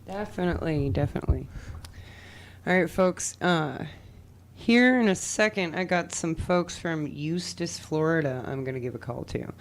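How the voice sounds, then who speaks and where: A woman with a low voice hosting a casual community radio show. She affirms her guest often and talks directly to her listeners in an easy, upbeat way. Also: lively intonation, moderate pace.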